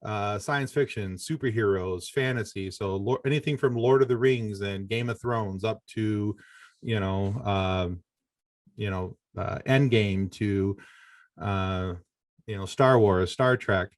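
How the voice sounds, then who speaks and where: A man's voice: fairly low pitch, slow, no room sound, clean studio sound. A man with an American accent chatting casually as a podcast guest, friendly and easygoing. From Michigan, United States. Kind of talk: casual interview chat.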